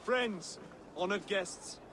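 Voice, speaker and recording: A man's voice: high voice, slow pace, background noise. An actor playing a genial, courteous Saxon king in a video game, polite and formal. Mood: proclaiming. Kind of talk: video game drama acting.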